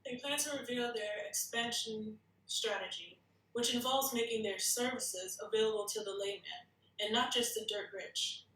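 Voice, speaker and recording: An actress reads a blunt, businesslike woman from a drama script. Her voice is highish and bright, a little away from the mic in an echoey room. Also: moderate pace, recorded from a distance. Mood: businesslike briefing. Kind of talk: screenplay table read.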